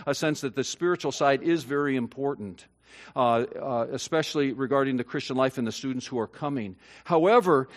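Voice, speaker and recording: A man preaching a sermon as pastor, earnest and halting, with many fillers and restarts that give way to emphatic high points. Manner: earnest, rising to emphatic peaks.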